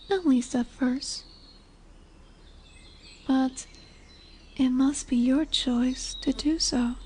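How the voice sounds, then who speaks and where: Lady playing a snake monster girl, asmr roleplay, high mellow voice, bossy but tender, whispery, birds in the background. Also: husky, heavily acted. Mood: solemn, coaxing. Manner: soft, commanding, soothing, often dropping into whispers. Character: dominant, tender female lamia, snake-bodied forest monster. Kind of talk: scripted ASMR roleplay audio drama.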